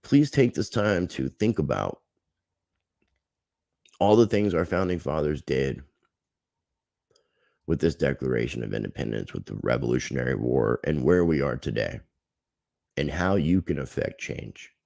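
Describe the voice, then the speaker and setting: A man's voice: extremely low pitch, animated, unhurried, creaky, pauses often, a little mellow, no echo, studio quality. A man hosting a livestream, chatting casually and earnestly to his viewers. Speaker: livestream host.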